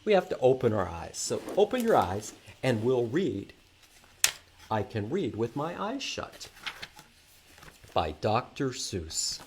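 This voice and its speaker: A man hosts a children's storytime at an unhurried pace. His pitch swings up and down as he reads aloud and puts simple questions to his young listeners, explaining each thing step by step. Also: big pitch swings, background noise. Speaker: library storytime host. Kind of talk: children's read-aloud and sing-along.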